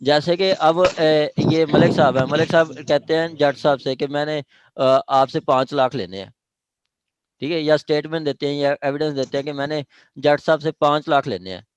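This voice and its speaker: A man's voice: fairly high pitch, moderate pace, clean tone, dry recording, rough recording. A man with a Pakistani accent lecturing on statute law in a didactic way, checking in with listeners as he explains. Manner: didactic, punctuates points with check-in questions.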